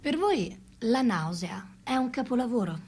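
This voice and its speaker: A woman's voice: fairly high pitch, sing-song, measured pace, a little mellow, slight background noise. An actress in a radio play voicing a woman close to a writer, with a theatrical delivery. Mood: curious. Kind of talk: radio play dialogue and monologue.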